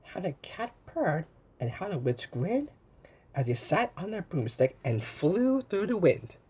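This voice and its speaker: Very slow, husky male storyteller. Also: on the high side. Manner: animated, exclaiming, pausing to point things out. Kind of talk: read-aloud of a children's picture book.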